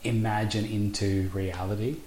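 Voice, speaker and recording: A podcast host asking reflective questions in a halting way, with frequent restarts. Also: low voice, little pitch movement. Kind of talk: podcast interview. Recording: roomy sound with faint background noise.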